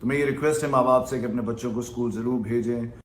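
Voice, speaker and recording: A man's voice: mid-range voice, fairly even intonation, racing, roomy sound, a little hiss or hum. A man giving urgent webinar remarks, restarting words as he goes. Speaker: panel speaker.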